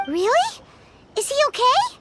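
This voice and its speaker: A woman voicing a tiny, childlike, excitable fairy companion in a video game, squeaky, blunt and expressive. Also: a little slow, heavy background noise, exaggerated voice. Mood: concerned, curious. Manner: animated, expressive. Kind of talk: cartoonish video game voice acting. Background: music.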